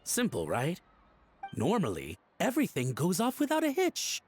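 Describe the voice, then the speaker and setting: An actor playing a nervous, wealthy antique collector in a video game, with a flustered, obsequious, theatrical way of talking. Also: male voice, sits high, huge pitch swings, moderate pace, slight background noise. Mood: confessional, self-justifying. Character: nervous, grovelling wealthy male antique collector, self-excusing schemer.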